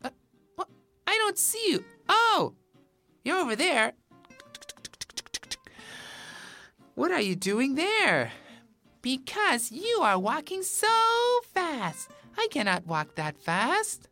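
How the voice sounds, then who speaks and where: Female narrator as children's-story rabbit: high, animated, hesitant, hungry for friendship, music underneath. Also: takes it slowly, exaggerated voice. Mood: puzzled. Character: eager, lonely young rabbit seeking a friend.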